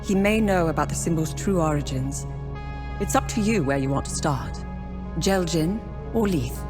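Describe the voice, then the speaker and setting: An actress playing a composed, dutiful journalist ally in a video game, with loud music behind her. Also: deep voice, expressive, normal speed. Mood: matter-of-fact. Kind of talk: video game dialogue, briefing.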